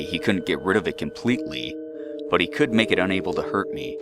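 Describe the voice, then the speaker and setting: A man reading a scripted first-person horror story as narrator. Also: low voice. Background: eerie background music.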